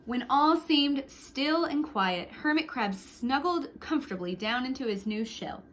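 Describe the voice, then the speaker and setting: Female storytime reader, high and very animated, expressive picture-book delivery, faint noise behind the voice. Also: measured pace. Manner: expressive, with hushed whispered sound words and asides. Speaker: storytime reader for young children. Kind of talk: read-aloud picture book narration with short asides.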